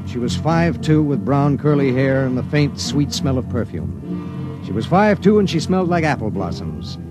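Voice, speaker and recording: A man's voice: medium pitch, very animated, normal speed, lightly acted, poor audio. A man acting a dutiful, troubled spaceship pilot, in mid-century radio drama style. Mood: somber. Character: male emergency spaceship pilot, dutiful and troubled, narrating his story. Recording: old radio drama with music behind.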